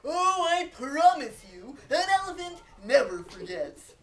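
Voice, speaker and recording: A woman playing a big, gentle, kindly female elephant in a live story, earnest and reassuring. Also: high voice, big pitch swings, measured pace. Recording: distant mic, live performance.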